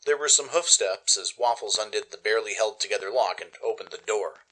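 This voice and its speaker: A man's voice: lowish voice, fairly quick, a bit bright, rough recording. A man giving dramatic first-person scripted narration as the narrator of a radio play.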